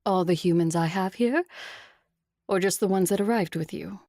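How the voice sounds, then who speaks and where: An actress playing a proud, seductive vampire ruler in an audio drama, with a cool, controlled, imperious manner. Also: female voice, fairly low pitch, moderate pace, dark tone, dry recording, studio quality, natural voice. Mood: cold, controlled. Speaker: voice actor.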